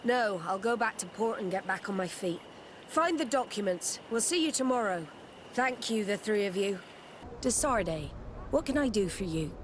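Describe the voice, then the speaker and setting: Game role, actress: proud female naval commander, firm formal delivery, medium pitch, audible outdoor noise underneath. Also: lively intonation, normal speed, close to an everyday voice. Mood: brusque. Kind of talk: video game cutscene acting. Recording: noisy outdoor ambience behind the voice.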